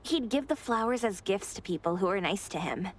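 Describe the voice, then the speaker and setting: A woman voicing a kindly, candid high school girl in an anime game, explaining things plainly. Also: lively intonation, a little fast, close to an everyday voice.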